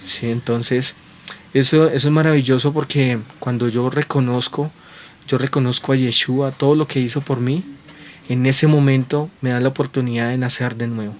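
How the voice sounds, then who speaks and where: Man, preacher, earnest sermon delivery, medium pitch, rhetorical check-in questions. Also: fairly even intonation, moderate pace, slight background noise. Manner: repeats and restarts phrases while building points. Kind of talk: sermon to viewers at home.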